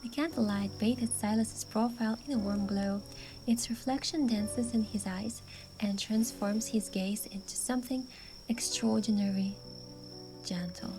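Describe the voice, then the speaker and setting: A woman's voice: mid-range voice, steady tone, a little slow, noisy background. A woman reading a scripted first-person story as narrator, with acted lines of dialogue. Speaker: narrator and voice dubber of a game story. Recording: music behind the voice.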